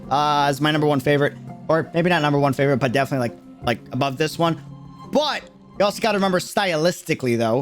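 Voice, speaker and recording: A young man talks in a slightly high voice as he hosts a livestream reaction. His commentary is casual and slangy, rambling along with a fan's enthusiasm. Music plays behind him. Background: music playing behind the voice.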